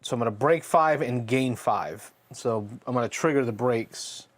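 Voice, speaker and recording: Dude playing a board game on stream, chatty, reads out comments from viewers. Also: male voice, medium pitch, normal speed. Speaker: board game player and host of a live play stream. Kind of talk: casual chat during board game play.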